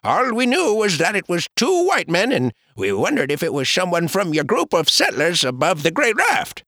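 A man voicing an earnest Caddo man who stammers and speaks halting English. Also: sits high, huge pitch swings, pushed and bright, no echo, clean studio sound, pitched above the performer's own voice, full character voice. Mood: urgent.

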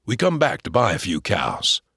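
A steady frontier father , voiced by a storyteller, speaks in a low, hushed, measured voice. He is calm and unhurried. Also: husky, no echo, clean studio sound, exaggerated voice.

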